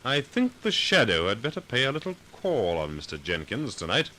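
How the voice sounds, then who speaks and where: An actor playing a calm, commanding amateur sleuth with a measured, probing, dryly ironic manner in a 1940s radio mystery. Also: male voice, mid-range voice, soft background music. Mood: thoughtful. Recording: noisy vintage 1940s radio recording.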